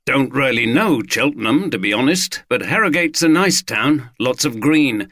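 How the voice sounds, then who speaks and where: A man's voice: medium pitch, animated, moderate pace, fairly bright tone, no echo, clean studio sound. A man voicing a tired young police sergeant who talks plainly and casually. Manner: plain, casual, a bit worn out.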